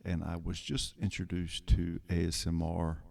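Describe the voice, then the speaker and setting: A man with a soothing voice reads slowly and calmly in a soft ASMR style. His voice is very deep and dark, with a gravelly edge. The delivery is unhurried. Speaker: ASMR creator. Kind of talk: soft-spoken ASMR reading of song lyrics.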